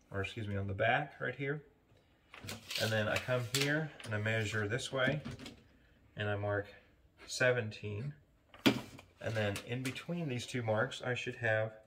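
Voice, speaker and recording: Gravelly tradesman in a noisy workshop. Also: medium pitch. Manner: talks in short bursts while working with his hands. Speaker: HVAC sheet metal tradesman demonstrating. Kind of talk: hands-on how-to demonstration, unscripted. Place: large indoor warehouse workshop. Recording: loud workshop noise, metal clanking and clicks.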